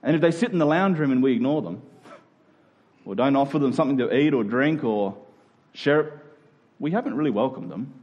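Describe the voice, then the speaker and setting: A man's voice: mid-range voice, animated, brisk, pauses often, highs cut off. A man with an Australian accent preaching as a pastor, earnest and conversational, often posing questions to his listeners. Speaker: church pastor. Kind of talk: sermon.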